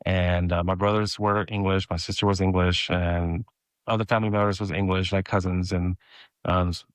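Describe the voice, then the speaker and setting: A man's voice: low-pitched, dry recording. A middle-aged man from Mexico whose first language is Spanish, speaking as a guest and often hesitating and restarting as he tells his story. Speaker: podcast guest. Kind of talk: personal storytelling in conversation.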